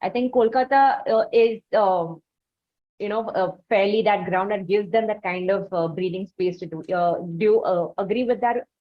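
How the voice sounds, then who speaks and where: A woman with an Indian accent hosting an interview, putting long, winding, halting questions in a conversational way, on a lo-fi recording. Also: mid-range voice. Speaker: interviewer, host. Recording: dull, lo-fi audio.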